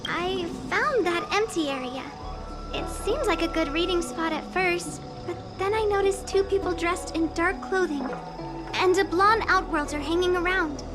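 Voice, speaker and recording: A woman's voice: extremely high pitch, moderate pace. A woman voicing a timid, bookish young female shop clerk in a video game, hesitant and apologetic. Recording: music under the voice.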